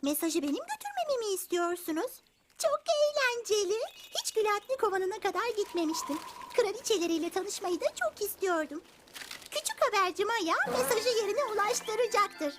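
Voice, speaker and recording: A woman's voice: extremely high pitch, lively intonation, normal speed, background noise. A woman voicing an eager, brave young girl bee in a dubbed children's cartoon, speaking boldly and enthusiastically. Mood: eager, cheerful. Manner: enthusiastic, bold, theatrical. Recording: loud music behind the voice.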